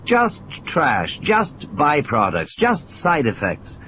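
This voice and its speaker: A man's voice: a little high, huge pitch swings, slow, dull audio, a little hiss or hum, exaggerated voice. A man playing an injured, cynical and irritable scientist in an audio play, ranting emphatically in philosophical, insistent repetitions. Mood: bitter, weary.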